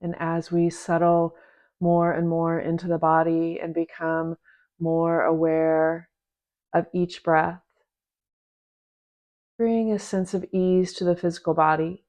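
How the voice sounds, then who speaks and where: A woman teaching a guided meditation in a gentle, unhurried manner, leaving long pauses between short phrases. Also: lowish voice, level tone. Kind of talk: guided meditation, soft instruction.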